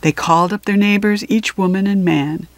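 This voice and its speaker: A woman reading a children's picture book aloud with expressive phrasing and deliberate pauses. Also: mid-range voice, no room sound. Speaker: storybook reader.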